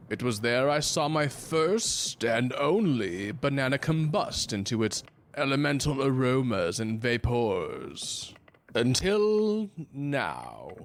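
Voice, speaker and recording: A pompous first mate from the age of sail , acted by a man, speaking slowly in florid, old-fashioned phrases. He is fussy and stern in a theatrical radio-play way, with steady noise behind him. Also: medium pitch. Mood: grandly reminiscing. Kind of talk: theatrical audio drama acting.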